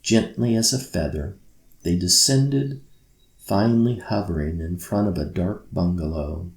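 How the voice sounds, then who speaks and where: A deep-voiced man narrates a storybook at a slow, measured pace. His delivery is gentle, suited to bedtime, with a gravelly fry in the voice. It is scripted narration. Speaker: author reading own story. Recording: roomy, echoey sound.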